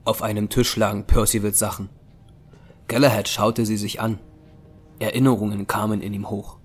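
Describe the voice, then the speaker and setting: A man's voice: fairly low pitch, little pitch movement, moderate pace, slightly rough. A man narrating a scripted story as the narrator of an audio drama.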